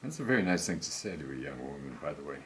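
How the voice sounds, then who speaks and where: An older man talking slowly and self-assuredly to camera about recording cover songs, rambling and musing as he goes. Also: rumbling low, big pitch swings, slight background noise. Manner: slow, musing, self-assured, breaks into song. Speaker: home singer recording covers. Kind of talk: rambling solo monologue to camera.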